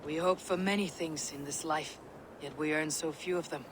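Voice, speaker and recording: A woman playing a steady Viking leader who speaks in a calm, measured way. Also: low voice, noisy background, natural voice. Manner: terse, measured.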